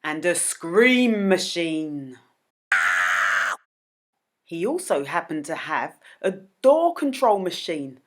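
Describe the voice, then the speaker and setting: Woman, children's quiz host: low-pitched, slow and deliberate, highly expressive, faint background noise. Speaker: host of children's read-aloud videos.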